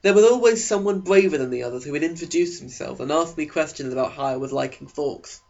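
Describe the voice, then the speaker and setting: Male narrator for spoof audiobook: fairly high voice, sarcastic, overplayed delivery, slightly dull lo-fi sound. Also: quick pace, creaky, a little mellow.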